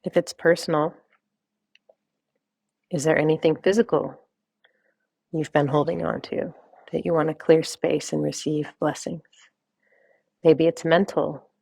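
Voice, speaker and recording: Low-pitched woman guiding a meditation, creaky. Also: darkish tone, dry recording. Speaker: meditation guide, wellness coach. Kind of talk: guided meditation, gentle instruction.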